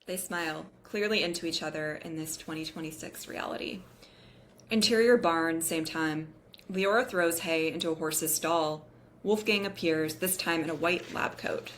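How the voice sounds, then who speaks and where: A woman's voice: sits low, moderate pace. A woman reading scripted narration and stage directions at a table read.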